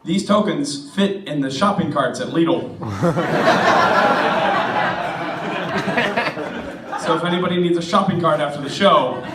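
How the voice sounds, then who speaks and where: A man in his twenties with an American accent, hoarse from a cold, doing a rambling, self-mocking stand-up set in a bar. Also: fairly high pitch, slow pace, pauses often, noisy background. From United States. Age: 20s. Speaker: stand-up comedian. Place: comedy club stage in a bar. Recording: echoey room recorded from a distance.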